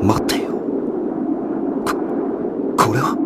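An actor playing a coldly brilliant, megalomaniac robot scientist in a video game, brooding and theatrical. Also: male voice, takes it slowly, lightly acted. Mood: brooding, menacing. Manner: brooding, theatrical, swinging into exultant raving. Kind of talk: Japanese video game cutscene acting. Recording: loud music behind the voice.

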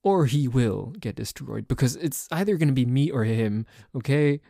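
A man's voice: medium pitch, lively intonation, moderate pace, creaky, dark tone, no room sound, clean studio sound. A man playing a dorky, jealous boyfriend in an ASMR roleplay, complaining in a stammering, exasperated way that sometimes drops toward a whisper. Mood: exasperated, defensive. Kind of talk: ASMR roleplay audio drama.

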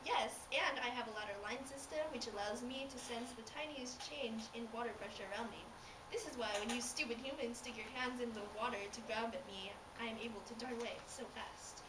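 A woman's voice: on the high side, fairly quick, lightly acted. A woman voicing a smug, snarky fish who taunts and giggles in an amateur comic puppet show. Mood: defiant. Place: indoors, homemade puppet stage filmed by a camera. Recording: distant echoey home recording, background noise.

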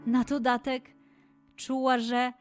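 Slow-paced woman narrating a children's tale. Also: high voice, little pitch movement, soft background music. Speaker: storyteller. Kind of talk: scripted children's story narration.

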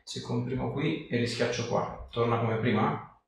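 A man's voice: mid-range voice, steady tone, a little slow. A man working as a chiropractor, giving short, reassuring instructions and questions to a patient during a hands-on exam. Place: indoor treatment room. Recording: very echoey room, distant mic, faint noise.

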